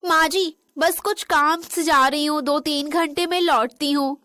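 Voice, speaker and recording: A woman voicing a gentle, dutiful young Indian daughter-in-law in an animated moral story. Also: high voice, a little slow, dry recording, studio quality. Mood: polite.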